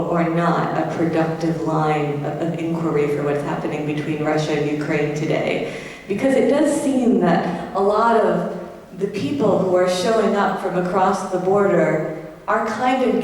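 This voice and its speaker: Audience woman with a lowish voice posing a question, heard far off in a long-reverb room. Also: darkish tone. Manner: searching, hesitant phrasing. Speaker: audience member asking a question. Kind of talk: spontaneous question. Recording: echoey hall, distant mic.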